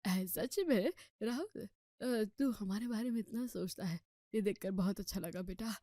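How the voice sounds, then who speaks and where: Woman as a soft-spoken, humble elderly father. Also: mid-range voice, moderate pace, no room sound, studio quality, lightly acted. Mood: fond, touched.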